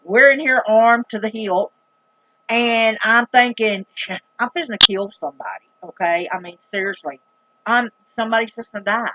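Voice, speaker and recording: A woman's voice: fairly high pitch, normal speed, sharp tone, lo-fi sound, a little hiss or hum. A middle-aged woman with a Southern US accent telling a story to her viewers in a casual, dramatic, emphatic and plain-spoken folksy way. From rural United States. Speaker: vlogger.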